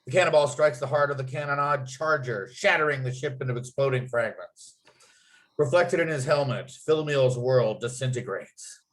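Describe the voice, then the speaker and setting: A man's voice: medium pitch, normal speed. A man reading out screenplay scene headings and action as the narrator of a script table read. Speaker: narrator and writer.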